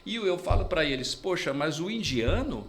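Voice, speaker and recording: A man's voice: on the high side, big pitch swings, normal speed, fairly bright tone. A man from Brazil, an interpreter and English mentor, explaining his views earnestly as an interview guest.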